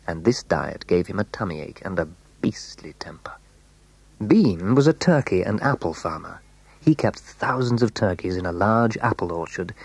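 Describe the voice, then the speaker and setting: A man narrating a scripted children's story in a measured, dramatic way, letting phrase endings fall hushed. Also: on the low side, slightly rough.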